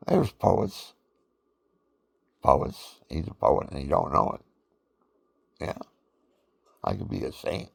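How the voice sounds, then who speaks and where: An older man with a deep voice, talking in a rambling, folksy personal monologue full of fillers. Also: sing-song, slow, creaky, pauses often, breathy edge. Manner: folksy, meandering, with many fillers.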